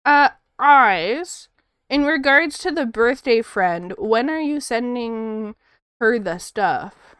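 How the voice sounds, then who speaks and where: A woman's voice: on the high side, lively intonation, slow pace, a bit bright, clear, resonant tone, no room sound, clean studio sound. A woman streaming her art, chatting casually and rambling with frequent laughs, in a soothing voice. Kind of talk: casual chat while drawing on stream.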